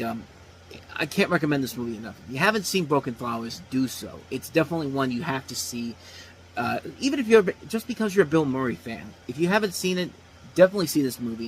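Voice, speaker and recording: A man's voice: mid-range voice, expressive, quick pace, slight background noise, poor audio. A man in his thirties casually reviewing movies as a host, talking off the cuff with frequent restarts and repeated phrases. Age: 30s. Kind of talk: casual unscripted review talk.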